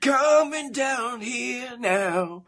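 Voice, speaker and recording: A man voicing a taunting demon that possesses an animatronic novelty fish, with drawn-out words. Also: extremely high pitch, lively intonation, loud music behind the voice, voice pushed up, full character voice. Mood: taunting singsong.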